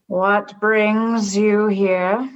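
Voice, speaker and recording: Stern, cryptic female alien high leader for sci-fi radio comedy, acted by a woman. Formal, slow, unbending; slightly dull lo-fi sound. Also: fairly even intonation. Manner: formal, measured, literal, unbending.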